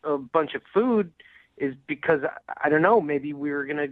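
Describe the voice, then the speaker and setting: A man calling in by phone, talking casually in a halting, awkward way. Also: a little high, expressive, no echo. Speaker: caller. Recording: narrow, tinny phone line.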